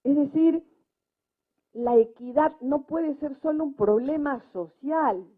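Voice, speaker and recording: A woman's voice: high voice, takes it slowly. A woman speaking off the cuff as a debate panelist, making emphatic points and checking them with tag questions. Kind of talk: unscripted talk at a debate. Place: public debate event. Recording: muffled, lo-fi event recording.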